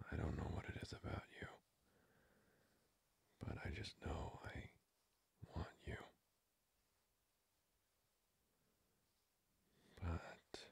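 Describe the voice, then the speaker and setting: A flirty but hesitant male vampire played by a man in an ASMR roleplay. His voice is extremely low and whispered, with heavy breath blurring it. He speaks slowly and haltingly, full of restrained hunger. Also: creaky, breathy edge, exaggerated voice. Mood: yearning.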